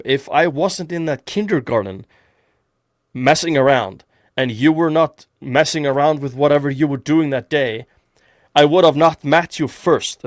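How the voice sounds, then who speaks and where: A man's voice: fairly high pitch, no room sound, clean studio sound. A man from Iceland hosting a casual podcast chat, rambling with many restarts. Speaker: podcast host.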